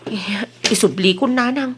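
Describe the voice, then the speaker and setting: High-voiced, flustered Ilocano woman acted by a woman. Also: unhurried, background noise, lightly acted. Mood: defensive. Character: defensive, freeloading female friend of the wife. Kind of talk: comedy radio drama acting.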